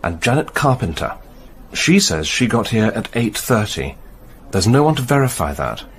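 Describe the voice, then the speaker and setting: An actor playing a senior British police detective in a radio play, husky, measured and questioning with authority. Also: male voice, fairly low pitch, sing-song, fairly bright tone. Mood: businesslike. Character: senior male police detective, methodical and probing.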